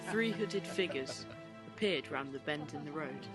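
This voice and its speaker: A man's voice: a little high, lively intonation, a little slow, loud music behind the voice. A man giving scripted storytelling narration for an audio play.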